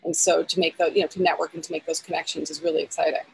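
A woman's voice: low-pitched, flat delivery, rapid-fire, gravelly, slight background noise, rough recording. A woman in her forties, a film editor and guest, talking eagerly over a video call from outdoors in her backyard, with many restarts and fillers. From United States.

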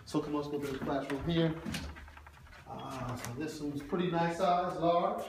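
Tour guide, slightly high voice, off-mic in a booming hallway, noisy phone audio. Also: male voice, fairly even intonation, vocal fry. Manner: brisk, points things out in short phrases. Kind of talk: casual walkthrough tour. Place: empty office building with hallways. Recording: echoey hallway from far off, noisy.

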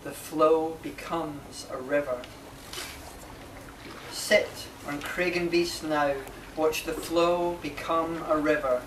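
A man in his thirties giving a measured poetry recital, pausing between short phrases. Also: a little high, fairly even intonation. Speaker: poet reading own work. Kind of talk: slow live poetry recital. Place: inside a marquee at a live reading. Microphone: camera mic a few metres away. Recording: distant, echoey, noisy camera recording.

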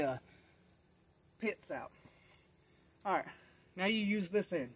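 A man's voice: sits high, fairly bright tone. An American man in his thirties or forties giving a casual, folksy hands-on tutorial, talking while he works. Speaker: do-it-yourself demonstrator. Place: outdoors in a cluttered rural yard. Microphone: camera a few feet away. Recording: outdoor camera audio, faint insect noise.

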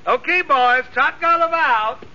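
A man's voice: extremely high pitch, measured pace, sharp tone, heavily acted. An actor playing an easygoing, folksy Southern American stable man with a drawl, in a 1940s radio comedy. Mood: reassuring, droll. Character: folksy, easygoing country horse-stable man, reassuring salesman. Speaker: riding stable hand. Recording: muffled, very noisy old radio recording.

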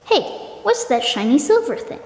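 Woman putting on a high, whiny sock puppet. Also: very animated. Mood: suspicious, straining. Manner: exclamatory, dramatic, drawn-out complaining. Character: Merp, whiny indignant young sock puppet. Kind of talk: improvised amateur puppet show dialogue. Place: indoors, room at home with wooden floor. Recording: echoey hard-floored room, faint hiss.

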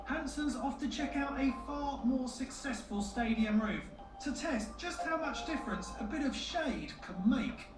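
A woman's voice: high voice, lively intonation, a little slow, distant mic. A woman reading scripted narration for a television documentary. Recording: echoey TV re-recording from afar, music. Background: music.

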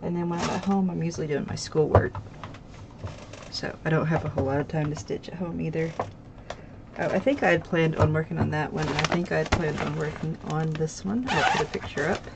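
Stuffy nasal woman chatting about her stitching at a craft table, gravelly, lots of ums, noisy mic. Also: sits low, steady tone, brisk. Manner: rambling, with many ums and restarts. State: congested, a bit nasal. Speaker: craft channel host. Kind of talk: casual chat showing stitching projects. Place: home craft table, indoors.

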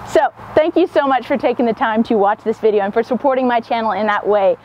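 A woman in her thirties narrates a workshop vlog in a fairly high voice. Her delivery is upbeat and addressed straight to viewers. There is some noise behind her. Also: fast talker, darkish tone, dull audio. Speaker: host of a DIY workshop channel.

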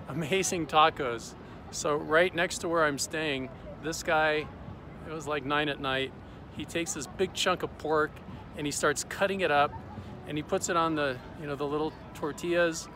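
A man's voice: fairly high pitch, sharp tone. Upbeat man vlogging outdoors on a phone. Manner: chatty, upbeat, laughs often. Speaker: vlog host. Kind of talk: casual travel vlog to camera. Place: large open city square outdoors. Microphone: phone at arm's length, selfie framing. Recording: outdoor city noise behind.

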